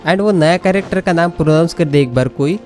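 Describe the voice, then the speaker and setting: Casting: chatty Indian-accented male gaming host, extremely quick delivery, casual let's play commentary mixing Hindi and English, soft music behind. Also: on the high side. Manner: chatty, code-switching between Hindi and English.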